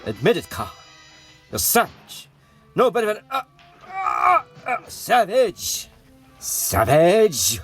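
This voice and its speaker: A man's voice: sits high, huge pitch swings, slow pace, a bit bright, soft background music, voice pushed up, exaggerated voice. A man playing a brash, defiant starship captain held prisoner in an audio comic, speaking in emphatic, goading bursts. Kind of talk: dramatic audio comic acting.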